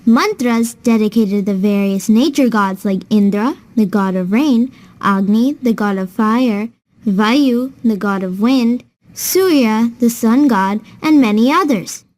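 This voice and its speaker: Woman as high-voiced, patient big sister. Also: measured pace, darkish tone, lightly acted. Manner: patient, clear explaining. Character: patient, kindly, knowledgeable older sister teaching a child. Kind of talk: scripted children's educational storytelling.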